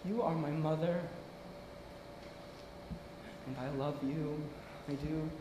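High-voiced, wounded young man played by a male actor. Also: background noise. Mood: quiet, resolute. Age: young adult. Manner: emotional, halting repetitions, emphatic. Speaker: student actor. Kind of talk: theatrical stage monologue. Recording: echoey room.

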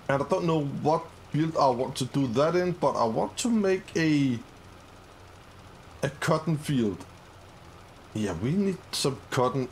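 Guy playing a farm game, chill slow talk, kinda high voice. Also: gravelly, slight background noise. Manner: upbeat, easily excited, many fillers. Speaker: gaming channel host. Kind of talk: casual let's-play commentary.

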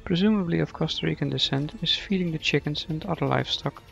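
A man narrating a scripted radio play in a measured, dramatic storytelling manner. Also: a little high, creaky, a bit bright, dull audio, soft background music.

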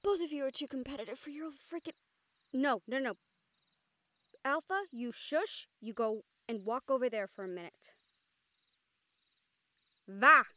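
Woman acting high-voiced, exasperated, caring siren. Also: expressive, measured pace, pauses often, pushed and bright, no room sound, exaggerated voice. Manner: sighing, earnest, drops in Spanish endearments. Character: female siren-banshee, exasperated but caring partner and team mate. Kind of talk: audio roleplay acting.

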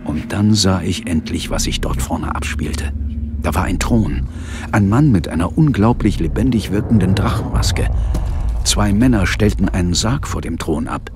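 An actor playing a cool, tense police inspector who narrates a demon fight in a dramatic radio play. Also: male voice, low-pitched, lively intonation, normal speed, background noise. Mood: tense, hushed. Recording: music bed under the voice. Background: dramatic music.

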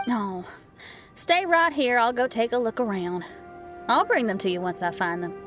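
A brash but kind young woman musician from a video game, played by an actress. Her voice sits high and moves around a lot. She talks casually and confidently, with music playing underneath. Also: lively intonation, moderate pace, lightly acted. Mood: concerned, kind. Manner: colloquial, encouraging, self-assured.

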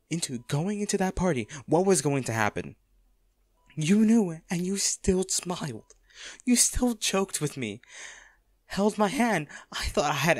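A man playing a rich, ruthless man softened by love and grieving at a bedside, in a halting, emotional audio roleplay. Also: a little high, no room sound. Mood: regretful. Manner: halting, pleading, emotional delivery. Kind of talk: intimate audio roleplay acting.

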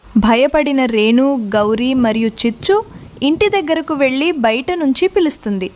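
A woman's voice: high-pitched, animated, moderate pace, a little mellow. A woman narrating a children's cartoon story from a script.

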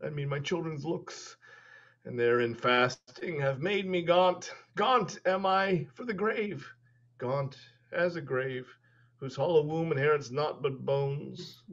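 A dying old duke, declamatory, slow, raised voice, muffled video call. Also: male voice, a little high, fairly bright tone. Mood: bitter. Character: dying old English duke, grave and prophetic. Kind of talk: Shakespeare staged reading. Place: home room.

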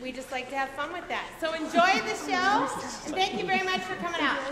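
A woman's voice: very high-pitched, lively intonation, quick pace, crowd noise. A woman drama director giving a chatty, unscripted introduction to a play from the stage. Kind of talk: informal stage introduction to an audience. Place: stage of a large auditorium. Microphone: far camera in the audience. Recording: echoey theater, clear audience and background noise.

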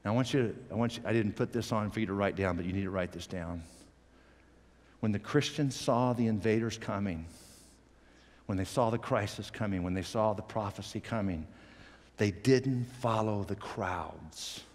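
A middle-aged man preaching a sermon from a church stage on a headset mic, animated, swinging from loud emphatic peaks to quiet low asides. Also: normal speed, long reverb tail. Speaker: pastor.

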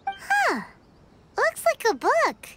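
Chirpy little kid sidekick for a game, super high, takes her time, noisy background. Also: huge pitch swings, extremely slow, full character voice. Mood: curious. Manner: chirpy, refers to self in third person. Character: tiny excitable childlike floating girl companion. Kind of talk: animated video game voice acting.

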